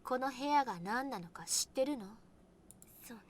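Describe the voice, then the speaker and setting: A strong-willed young woman speaks in a high, animated voice with a curt, defiant edge. It is visual novel acting, quick and sharp-tongued. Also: a little hiss or hum. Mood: subdued, resolute.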